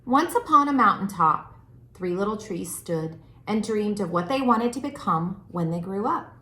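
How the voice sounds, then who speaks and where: A woman reading a children's storybook aloud as a storyteller, with expressive, deliberate phrasing. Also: mid-range voice. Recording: roomy, echoing room sound.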